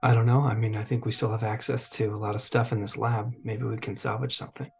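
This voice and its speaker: A man playing a tabletop roleplaying game, moving casually between describing his actions and speaking in character, in a gravelly, husky voice. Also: fairly low pitch, flat delivery, quick pace, a little mellow.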